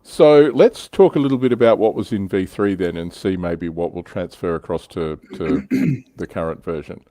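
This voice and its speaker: A man with a deep voice hosts a relaxed hobby panel. He talks conversationally, and the sound is dry with little room in it. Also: normal speed, few pauses, no room sound. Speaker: livestream host. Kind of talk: casual panel discussion of tabletop wargaming. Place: indoors, online livestream.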